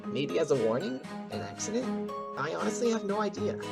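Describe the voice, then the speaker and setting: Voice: mid-range voice, very animated, a little fast, creaky, over loud music. A performer playing a cocky, eager young male wolf pup witness in an audio drama, brash and casual. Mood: unsure. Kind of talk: courtroom audio drama acting.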